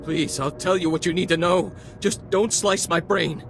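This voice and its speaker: An actor playing a terrified wounded cyborg terrorist in a video game, pleading in a halting way. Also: male voice, a little high, steady tone, gravelly, a little hiss or hum.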